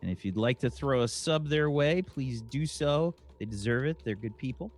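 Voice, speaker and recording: A man hosting a tabletop game stream as game master, relaxed and hesitant with many fillers. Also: medium pitch, normal speed.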